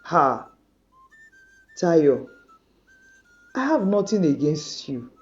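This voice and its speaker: A woman playing a quiet measured wronged woman, slow, mellow, dull lo-fi sound. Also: low-pitched, big pitch swings, faint music behind, pitched below the performer's own voice, heavily acted. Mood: calm. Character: calm wronged woman, dignified and forgiving.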